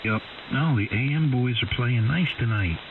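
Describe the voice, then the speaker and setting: A man's voice: mid-range voice, normal speed. A man calling in on a ham radio chat, rambling casually with many ums and uhs. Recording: distant, tinny radio signal with hiss.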